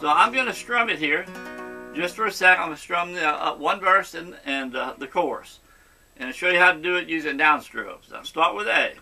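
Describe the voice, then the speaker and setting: A man's voice: a little high, moderate pace, gravelly, low-quality recording. A man giving a step-by-step guitar lesson in an encouraging, reassuring way, often checking in as he goes. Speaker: guitar teacher.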